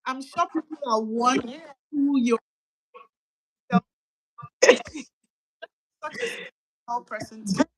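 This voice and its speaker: A woman's voice: fairly high pitch, slow, pauses often, poor audio. A woman hosting an interview over a video call, enthusiastic and welcoming toward her guest. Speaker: host.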